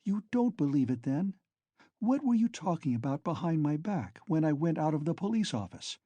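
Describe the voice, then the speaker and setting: A man's voice: fairly high pitch, sing-song, normal speed, mellow, no echo, dull audio, pitched above the performer's own voice. A man reading an audiobook, voicing a volatile, feverish young man who mocks and pleads bitterly. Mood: taunting. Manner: mocking, then pleading in a frenzy.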